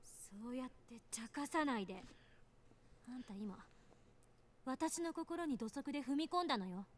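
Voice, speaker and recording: An actress playing a guarded, sharp-tongued young woman in a visual novel. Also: sits high, lively intonation, brisk, slight background noise. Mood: angry. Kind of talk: Japanese visual novel voice acting.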